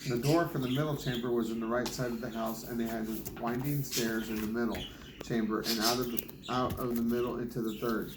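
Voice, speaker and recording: A man's voice: mid-range voice, steady tone, fairly quick. A man reading scripture aloud as a Bible reader, sometimes stumbling and rereading words. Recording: noisy, echoey home recording with clicks.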